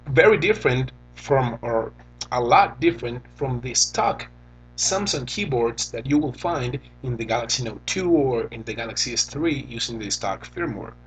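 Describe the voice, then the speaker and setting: Young Venezuelan-accented man, native Spanish speaker, husky, unhurried casual tech-review talk. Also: medium pitch, fairly bright tone, poor audio. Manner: slow, halting, thinks aloud while typing. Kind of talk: casual phone review demo.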